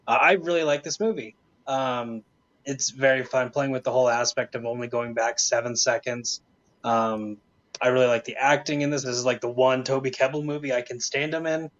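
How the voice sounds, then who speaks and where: A man with a medium-pitched voice gives opinions in a casual panel chat. The audio is slightly dull and lo-fi. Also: fairly even intonation, a little fast, fairly bright tone.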